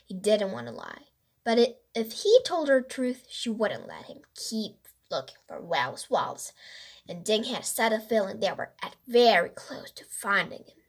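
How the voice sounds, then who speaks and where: A woman reading a children's story aloud as an expressive narrator. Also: mid-range voice, moderate pace, creaky. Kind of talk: expressive read-aloud narration with character voices.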